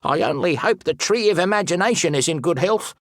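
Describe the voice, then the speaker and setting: A man playing a fussy, excitable and pompous explorer and wildlife expert in an animated video game, speaking theatrically with whimsical made-up words. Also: high-pitched, a little fast, no echo, studio quality. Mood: worried, earnest. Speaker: voice actor.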